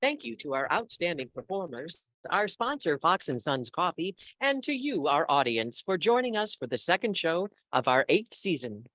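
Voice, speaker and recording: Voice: medium pitch, sing-song, moderate pace, hardly any pauses, no echo. An announcer reading a scripted sign-off in vintage radio style. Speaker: show announcer. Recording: loud music under the voice. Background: prominent music.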